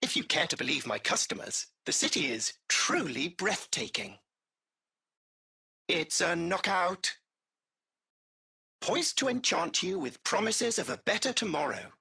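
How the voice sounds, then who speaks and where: Very animated, raspy British AI chauffeur. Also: male voice, sits high, a little slow, long pauses, full character voice. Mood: effusive, charming. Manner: polished, effusive, butler-like chattiness. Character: urbane, chatty male AI taxi driver, butler-like and cheeky. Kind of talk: video game voice acting.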